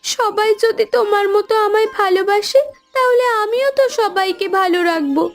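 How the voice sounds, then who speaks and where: A woman voicing a gentle young daughter-in-law in a cartoon, pleading and easily hurt. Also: very high-pitched, normal speed. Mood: tearful, hurt. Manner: plaintive, pleading. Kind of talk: Bengali cartoon dialogue acting. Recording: background music under voice.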